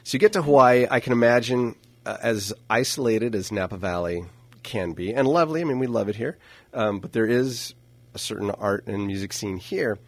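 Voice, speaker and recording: A man hosting a casual radio interview, playful and quick to laugh. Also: lowish voice, no room sound. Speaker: radio show host.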